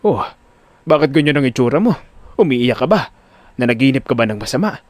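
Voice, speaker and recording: A man playing a hearty, concerned father with a brisk manner in an audio drama. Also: mid-range voice, expressive, dry recording, close to an everyday voice. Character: hearty, concerned father, a working-class driver.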